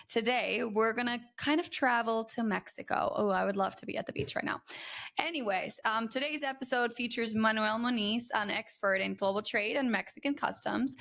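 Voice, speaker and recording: A woman hosting a trade podcast, chatty and rambling with many fillers, her voice slightly raspy from a recent illness. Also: mid-range voice, a bit bright. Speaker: podcast host. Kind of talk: casual podcast intro.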